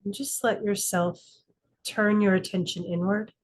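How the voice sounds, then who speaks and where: A woman leading a guided meditation as a teacher, calm and instructive, speaking in slow, deliberate phrases with frequent pauses. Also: mid-range voice. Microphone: video call class.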